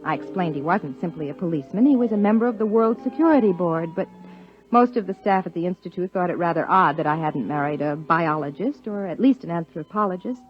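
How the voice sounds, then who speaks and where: A woman's voice: lowish voice, expressive, brisk, soft background music, natural voice. A woman playing an earnest, determined, articulate wife and scientist in a 1950s radio drama. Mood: reflective narration. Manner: articulate, insistent, formal. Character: earnest, determined wife and biologist, narrating her story. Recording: muffled old 1950s radio broadcast.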